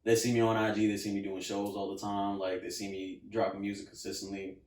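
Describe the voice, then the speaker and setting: Laid-back young man, low voice, roomy. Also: completely flat delivery, brisk, darkish tone, far from the mic, slight background noise. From New Jersey. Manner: laid-back, many "like" and "you know". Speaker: guest, rapper and engineer. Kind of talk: casual interview chat. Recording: roomy, from off mic.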